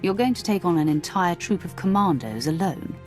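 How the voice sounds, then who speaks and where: An actress plays a female Sith warrior from a video game. She sounds cool, measured and authoritative, with dry confidence, over faint music. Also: lowish voice, expressive, a little mellow, natural voice. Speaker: player character in a video game. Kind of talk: scripted video game dialogue acting.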